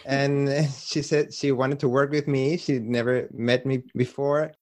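A Venezuelan man whose first language is Spanish speaks English with a Spanish accent in a podcast interview. His voice sits at a middling pitch. He talks hesitantly, with many restarts as he searches for words. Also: level tone, a little slow. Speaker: film composer.

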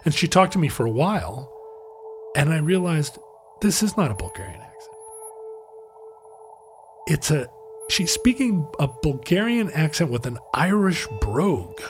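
A middle-aged man, co-hosting a podcast and telling stories casually in a halting, wry way. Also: a little high, very animated, soft background music. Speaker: podcast co-host. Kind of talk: casual conversational storytelling.

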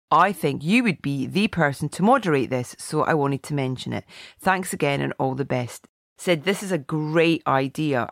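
Croaky middle-aged lady hosting a film podcast, chatty, dry close sound. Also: low-pitched, dry recording. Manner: chatty, warm asides, reads listener email. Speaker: podcast host. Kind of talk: casual podcast chat.

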